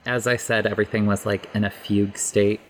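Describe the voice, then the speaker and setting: Halting, enthusiastic podcast guest, noisy background. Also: sits low, level tone. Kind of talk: casual podcast chat.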